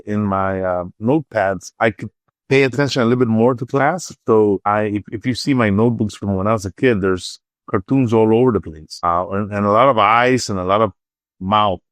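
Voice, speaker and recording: A man's voice: lowish voice, moderate pace, dry recording. A middle-aged man with a Salvadoran accent answering interview questions conversationally, often repeating words as he searches for phrasing. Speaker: interview guest, artist and entrepreneur.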